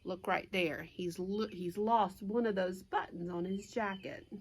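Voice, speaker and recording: A woman's voice: mid-range voice, steady tone, unhurried, breathy edge. A woman reading a children's storybook aloud as a teacher would, with simple explanatory asides for young listeners.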